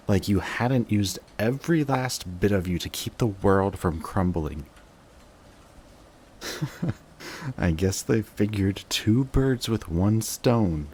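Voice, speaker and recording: A man acts out a bitter, guarded male villain in an audio roleplay monologue. His voice sits very low and moves very slowly, with intense, sardonic delivery and dramatic pauses. Clear background noise sits behind the voice. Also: darkish tone. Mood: bitter, angry. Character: bitter adult male villain, guarded, secretly devoted to rival.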